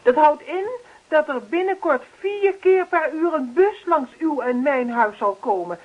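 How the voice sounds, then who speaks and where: An actress playing an earnest, officious neighbourhood campaigner in a radio play, speechifying persistently. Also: female voice, high voice, animated, a little slow. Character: earnest, insistent female neighbourhood action-committee campaigner. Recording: dull lo-fi radio play recording.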